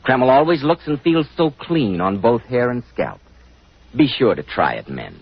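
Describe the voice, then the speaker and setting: A man's voice: mid-range voice, very animated, normal speed, breathy edge. A man announcing and hosting a 1940s radio show, polished, formal and emphatic in a scripted delivery. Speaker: announcer and host. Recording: dull 1940s radio recording, faint music.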